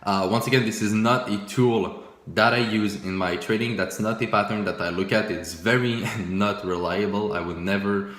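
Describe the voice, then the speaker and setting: A young man whose first language is French gives relaxed, self-mocking commentary on his old trading charts. He talks loosely, with many fillers and restarts. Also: on the low side, moderate pace, echoey room. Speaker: forex trading YouTuber. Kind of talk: casual screen-share commentary.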